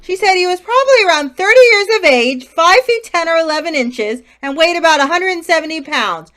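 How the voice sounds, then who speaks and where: A woman playing an earnest police inspector, brisk and emphatic, in an old-time radio crime drama. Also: very high-pitched, animated, a bit bright. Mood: earnest, determined. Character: earnest police inspector and pleading robbery victim.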